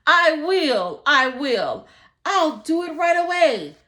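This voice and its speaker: A woman's voice: sits high, very animated, bright, forceful tone, pitched above the performer's own voice, heavily acted. Woman playing a frantic, wailing poor man, shift+. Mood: eager. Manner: shrieking, wailing, drawn-out pleas. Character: poor unfortunate man, desperate, frantic, pleading, overwhelmed. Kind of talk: dramatic storybook read-aloud.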